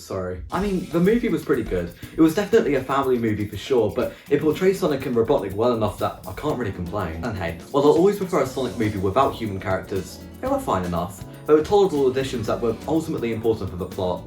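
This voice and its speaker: A man hosting a scripted comedic video essay, reviewing films with sarcastic, wry remarks and casual swearing. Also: lowish voice. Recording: music behind, roomy echo. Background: background music.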